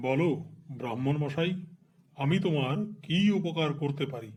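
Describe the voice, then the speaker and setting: An actor playing a generous, pious king in a cartoon, speaking courteously in stately, deliberate phrases. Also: on the high side, darkish tone, close to an everyday voice. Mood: gracious. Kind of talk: cartoon dialogue.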